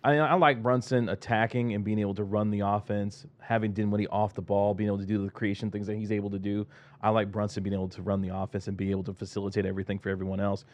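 A man's voice: fairly low pitch, level tone, fast, a little mellow. A man giving conversational sports analysis as a panel commentator.

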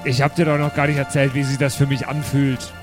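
A man's voice: medium pitch, flat delivery, fairly quick, music in the background. A man improvising casually as a player in a tabletop role-playing game. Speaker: player in an improvised pen-and-paper audio play.

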